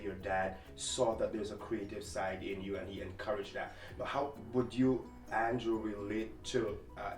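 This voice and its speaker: A man with a low voice asks loose, rambling questions. The mic is distant, and the room is echoey with faint music underneath. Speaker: host. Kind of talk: casual interview chat.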